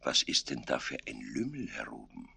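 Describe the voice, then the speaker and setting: Man playing a composed, bright-voiced God. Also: extremely low pitch, wildly swooping pitch, moderate pace, creaky, husky, voice pushed down, full character voice. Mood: astonished. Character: dear God, male, astonished but composed heavenly authority.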